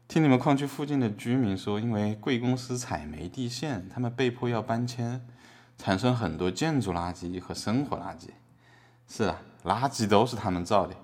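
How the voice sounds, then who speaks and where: A man playing a mock-sincere activist artist defending himself deadpan in a scripted trial drama. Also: on the low side, natural voice.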